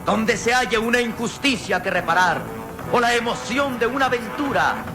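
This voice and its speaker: A radio serial announcer with a theatrical, declamatory, drawn-out delivery. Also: medium pitch, animated, music in the background.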